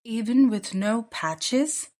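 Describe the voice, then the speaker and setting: Woman voicing a small anxious baby bear, higher-pitched. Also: slow, mellow. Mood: anxious questioning. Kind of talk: children's storybook read-aloud.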